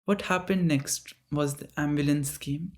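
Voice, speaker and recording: An author reading their own story aloud in a slow, halting way, often stopping for chatty asides. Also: medium pitch, fairly even intonation.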